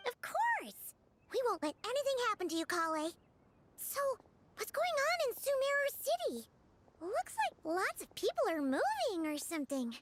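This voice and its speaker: A woman voicing a tiny, chatty, excitable fairy companion with a childlike voice in an anime-style video game. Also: normal speed, a little hiss or hum, heavily acted. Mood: eager. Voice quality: childlike, squeaky. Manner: exclamatory, bubbly.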